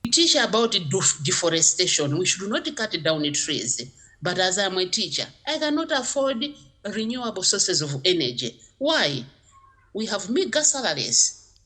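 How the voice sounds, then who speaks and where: A woman's voice: medium pitch, normal speed, husky. A woman from Malawi, a geography teacher giving emphatic interview answers. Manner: emphatic, animated explaining.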